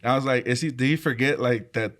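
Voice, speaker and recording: Man, tongan native speaker, relaxed interview talk, mid-pitched, easygoing. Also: fast. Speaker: choir leader, band member. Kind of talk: casual interview chat.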